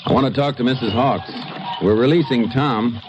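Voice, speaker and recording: A man acting a steady, shrewd Texas Ranger investigator in an old radio drama, clipped, matter-of-fact and folksy. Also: mid-range voice, normal speed, background noise, close to an everyday voice. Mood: thoughtful. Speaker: lead actor and narrator. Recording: dull old radio recording.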